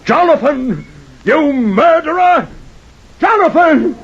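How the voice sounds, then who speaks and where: An actor playing a bitter, accusing ship's carpenter who curses a shipmate, in old-time radio drama style. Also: male voice, very high-pitched, sing-song, takes it slowly, full character voice. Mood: frenzied accusation. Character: vengeful, cursing male ship's carpenter, dying of thirst. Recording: muffled, faintly noisy vintage radio recording.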